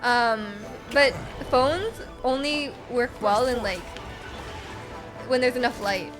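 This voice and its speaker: A woman live streaming a game, chatting casually with an excitable manner and often repeating her phrases. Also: a little high, slow, poor audio. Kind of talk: casual live stream chat while playing. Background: game music.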